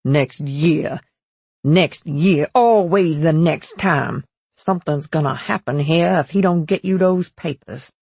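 Woman voicing a gruff, angry father, lower-pitched. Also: steady tone, measured pace, hardly any pauses, dry recording, lo-fi sound. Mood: furious. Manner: forceful, emphatic, dialect speech. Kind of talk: audiobook character voice.

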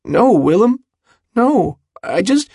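A male narrator voices a frightened, ashamed man in an audiobook. He pleads hesitantly, raised in pitch and husky, evasive and fragile, in a dry, close sound. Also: sing-song, slow, no room sound, full character voice. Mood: pleading. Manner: soft, faint, hesitant, pleading. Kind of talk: audiobook character dialogue.

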